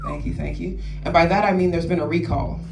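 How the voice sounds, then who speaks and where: A woman's voice: lowish voice, a little fast, gravelly. A young woman in her twenties from New Orleans doing a stand-up comedy set, tagging her jokes with "right?" and laughing at her own punchlines. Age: 20s. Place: comedy club stage. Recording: echoey room, distant mic, noisy.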